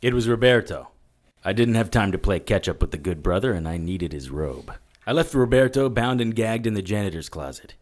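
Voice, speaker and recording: A world-weary supernatural investigator played by a man narrates in a very deep voice. He is dry and wry, telling his own story in noir audio drama style. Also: animated, brisk, close to an everyday voice. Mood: dry, resolute. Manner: dry, matter-of-fact, wry.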